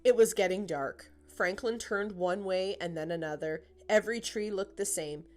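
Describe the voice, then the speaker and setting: A woman's voice: mid-range voice, moderate pace, faint music behind. A woman narrating a children's picture book as a scripted storytime read-aloud.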